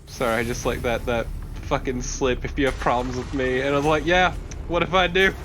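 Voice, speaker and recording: A young man of medium pitch talks casually over someone's video game. His voice is bright and forceful, and he swears freely in a conversational way. There is faint noise and game ambience behind him. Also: steady tone, a little fast. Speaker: co-commentator on a let's play. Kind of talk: casual chat over gameplay.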